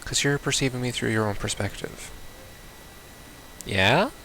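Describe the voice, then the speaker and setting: Bright-voiced gamer muttering short reactions. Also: medium pitch, a little slow, slight background noise, poor audio. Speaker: gamer playing a visual novel. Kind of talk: casual playthrough commentary, reacting aloud and reading choices.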